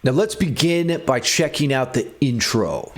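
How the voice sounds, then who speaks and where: A man's voice: mid-range voice, lively intonation, measured pace. A man hosting a music theory lesson, explaining in a playfully theatrical, tongue-in-cheek way. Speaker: music theory teacher and video host. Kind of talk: guitar music theory lesson with scripted dramatic intro then casual explanation.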